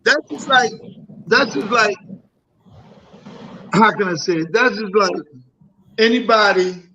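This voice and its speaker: A man's voice: high-pitched, measured pace, sharp tone, slight background noise, low-quality recording. A man in a casual panel debate who insists on his point and often repeats himself. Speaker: talk show panelist.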